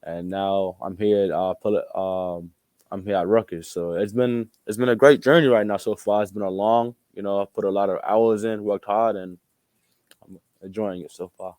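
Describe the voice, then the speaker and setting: A man's voice: fairly low pitch, fairly even intonation, normal speed, a little mellow, no echo. A young man from Australia, a college athlete being interviewed, talking casually with informal, repetitive phrasing. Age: young adult. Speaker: college basketball player, interview guest.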